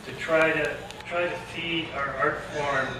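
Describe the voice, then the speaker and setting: A man giving an informal acceptance speech in a halting way, pausing often mid-sentence. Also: a little high, steady tone. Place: large banquet room over microphone. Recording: echoey banquet room, distant, noisy.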